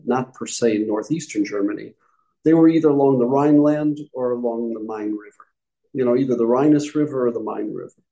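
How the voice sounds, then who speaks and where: A man's voice: mid-range voice, warm tone, poor audio. A middle-aged man from upstate New York, a rabbi answering interview questions in a conversational, explanatory way, often correcting himself mid-sentence. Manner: explanatory, with frequent self-corrections and asides. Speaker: guest rabbi.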